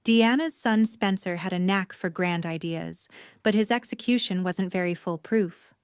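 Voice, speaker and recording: A woman's voice: fairly low pitch, moderate pace, smooth, no echo, studio quality. A woman reading scripted story narration for an audio drama.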